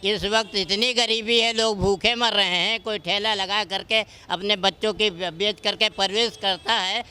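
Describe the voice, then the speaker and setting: A man with a high, piercingly bright voice. He speaks as a local resident in a street interview. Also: fairly even intonation, measured pace, clean tone. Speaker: member of the public.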